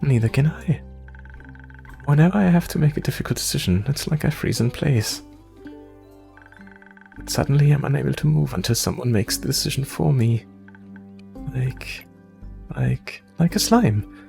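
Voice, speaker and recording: A man's voice: mid-range voice, lively intonation, normal speed, creaky, long pauses, warm tone, voice pushed up. A man voicing an anxious, self-doubting young adventurer from the forest, stammering and rambling in a visual novel. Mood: anxious, glum. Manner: nervous, hesitant stammering and rambling. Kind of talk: visual novel character read-aloud. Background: music.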